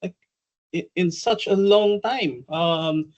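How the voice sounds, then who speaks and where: A man's voice: high-pitched, slow, dull audio. A man with a Filipino accent, probably in his forties, giving an online workshop in a chatty, hesitant way, full of ums and uhs. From Philippines. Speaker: trainer and presenter. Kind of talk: online conference workshop presentation.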